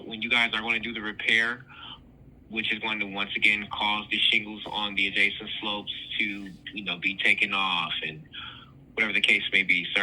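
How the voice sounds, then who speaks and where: Male insurance adjuster, formal phone manner. Lowish pitch, hard piercing tone, firm, repetitive delivery. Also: pushed and bright, low-quality recording. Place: phone call.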